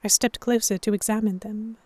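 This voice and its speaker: A woman playing a proud, sharp-tongued historical heroine who narrates a pirate romance in the first person, wry and expressive. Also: mid-range voice, fairly quick, dry recording, close to an everyday voice. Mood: reflective narration. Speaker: narrator and lead voice actor.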